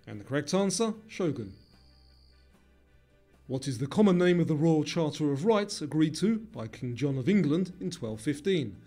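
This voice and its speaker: A man with a somewhat high voice narrates a quiz from a script. He reads each question and option clearly over background music. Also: very animated, moderate pace, dark tone.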